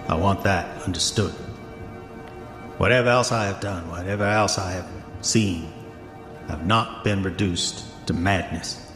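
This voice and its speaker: A man's voice: low-pitched, unhurried, pauses often. An actor playing a proud, paranoid man guarding his gold in a haunted house, with formal, declamatory delivery, for a horror audio drama. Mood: grave, defensive. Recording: echoey, long reverb, music bed.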